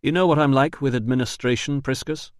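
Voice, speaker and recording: A man voicing a calm, dry-witted male investigator in an audiobook, level and sardonic. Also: medium pitch, brisk, no echo, pitched above the performer's own voice, lightly acted. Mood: cool. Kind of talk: audiobook dialogue.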